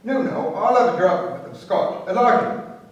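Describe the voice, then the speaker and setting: An actor playing a shifty, casual pub acquaintance, hesitant and probing, in a radio play. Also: male voice, high-pitched, very animated, measured pace, dull audio. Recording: very echoey, distant from the mic.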